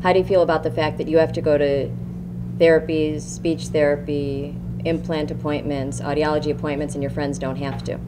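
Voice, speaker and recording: A woman with a notably low, deep voice leads a panel, putting questions to the speakers in a measured, even tone. The recording from the conference room carries a clear hiss and background noise. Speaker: panel moderator.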